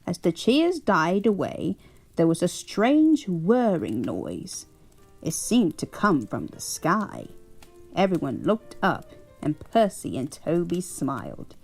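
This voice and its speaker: A woman's voice: fairly low pitch, wildly swooping pitch, slow, vocal fry, a little mellow, breathy edge, soft background music, exaggerated voice. A woman voicing a kindly elderly English grandmother who reads a bedtime story aloud and fusses over her grandchild. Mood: cozy. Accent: put-on English. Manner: doting, chuckling, fussing over a grandchild.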